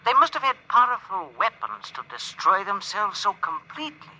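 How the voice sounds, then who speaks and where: A man playing a gentle, reflective male alien space traveler through a radio transmission filter, in 1950s radio acting style. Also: sits high, sing-song, moderate pace, heavily acted. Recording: sharp, noisy overheard radio transmission.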